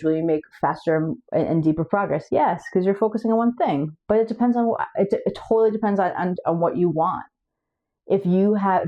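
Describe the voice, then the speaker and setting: A woman's voice: lowish voice, dark tone. A woman hosting her own channel, talking casually to camera about raising kids with several languages, often restarting words and checking her notes. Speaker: language coach and channel host.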